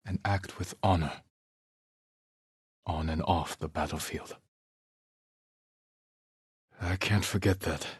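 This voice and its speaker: A reflective, honor-bound samurai from a video game. His voice is low and heavily husky, close and dry. He speaks in hushed, deliberate phrases, as if reciting poetry, with grave restraint. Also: steady tone, no room sound, full character voice. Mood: earnest, grave. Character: solemn, reflective male samurai warrior, stoic and honor-bound. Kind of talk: measured poetic recitation, video game acting.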